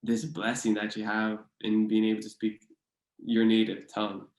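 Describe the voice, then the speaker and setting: A man's voice: lowish voice, moderate pace. Laid-back young man in an echoey room. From Hawaii. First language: Hawaiian. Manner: relaxed, rambling, many fillers and restarts. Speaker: podcast guest. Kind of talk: casual interview chat.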